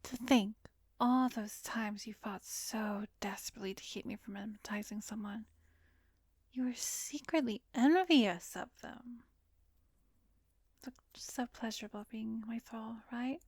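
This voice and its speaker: A woman playing an arrogant, seductive vampiress who hypnotizes in an ASMR roleplay, breathy and commanding, often slipping into a whisper. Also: medium pitch, dry recording, clean studio sound. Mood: seductive, taunting.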